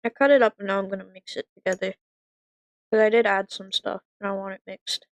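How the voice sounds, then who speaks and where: A woman's voice: medium pitch, fairly even intonation, a little fast, no echo. A young woman streamer chatting casually while she eats and works, rambling with lots of "like" fillers. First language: French by heritage, English first language. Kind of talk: casual chat while eating and working.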